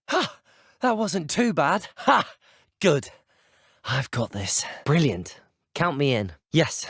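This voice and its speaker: A plucky teenage student wizard in a video game, with a British accent and a slightly husky, expressive voice. He is polite, earnest and well-spoken, with a middling pitch and a close, dry sound. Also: no room sound. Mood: exhilarated. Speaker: video game player character voice. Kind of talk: scripted game voice lines.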